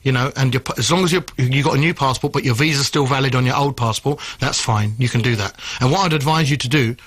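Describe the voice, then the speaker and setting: A man's voice: mid-range voice, fast talker, a bit bright. A man giving blunt immigration advice on a radio phone-in, telling stories with animation. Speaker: immigration adviser guest.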